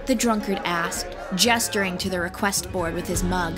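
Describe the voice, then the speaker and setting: A woman's voice: fairly low pitch, normal speed, crowd noise. Woman narrating fantasy over music. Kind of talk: scripted fantasy audiobook narration. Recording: music playing behind.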